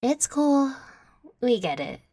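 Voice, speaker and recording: A woman's voice: fairly high pitch, big pitch swings, slow pace, warm tone, husky, full character voice. A woman voicing a laid-back, mischievous young fairy who talks in casual slang, in an ASMR audio roleplay. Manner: slangy, nonchalant.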